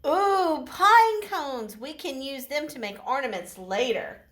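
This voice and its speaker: A woman voicing a patient, helpful puppet friend in a children's puppet show, in a measured, theatrical manner. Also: sits high, expressive, fairly bright tone, heavily acted. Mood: curious, searching.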